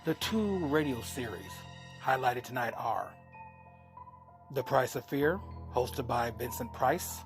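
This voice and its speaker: A man in his fifties hosts a horror radio programme in a mid-pitched voice. He delivers his scripted introduction very slowly, phrase by phrase, with soft music behind him. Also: lively intonation. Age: 50s. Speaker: host of old-time radio horror show. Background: faint music.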